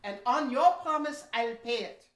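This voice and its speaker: A woman playing a smooth, scheming agent with a measured, deliberate delivery in a historical audio play. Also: on the high side, natural voice. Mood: cool, scheming. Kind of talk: historical radio play acting. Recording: roomy, echoing room sound.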